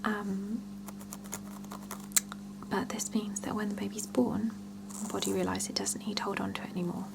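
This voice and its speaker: A woman whispering softly in an ASMR roleplay as a salon scalp therapist, chatting and explaining gently and without hurry. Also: mid-range voice, fairly even intonation, moderate pace, pauses often, heavy background noise. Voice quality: soft breathy whisper with creaky edges.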